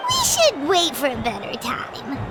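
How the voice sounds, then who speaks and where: A woman voicing a tiny, excitable childlike fairy companion in an English anime game dub, with a squeaky voice and third-person self-reference. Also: a little slow, loud music behind the voice, heavily acted. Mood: puzzled, wary. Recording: loud ambient noise behind voice.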